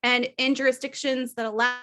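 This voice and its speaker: High-voiced woman speaking on a panel. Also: level tone, a little fast, bright, forceful tone. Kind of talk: webinar presentation.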